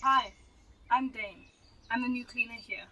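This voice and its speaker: A woman's voice: on the high side, fairly even intonation, measured pace, bright, forceful tone, distant mic, dull audio. A young woman playing a polite, hesitant cleaner and parent in an amateur radio drama. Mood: polite, awkward. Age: teenager.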